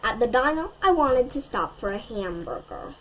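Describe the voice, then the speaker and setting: A woman storyteller reading a children's picture book aloud in an expressive way, addressing young listeners. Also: a little high, moderate pace, slight background noise, poor audio.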